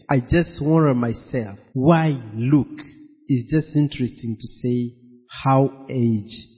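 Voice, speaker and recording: Man, pastor, slow, husky, sermon in a reverberant hall. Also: medium pitch. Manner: slow emphatic preaching, repeats phrases. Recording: dull, echoey hall.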